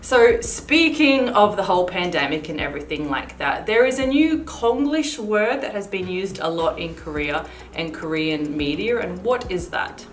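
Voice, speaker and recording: A woman's voice: lowish voice, lively intonation, moderate pace, hardly any pauses. A woman in her thirties co-hosting a casual chat, talking freely with plenty of fillers. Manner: chatty, uses many fillers. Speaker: co-host. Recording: echoey room with faint hiss.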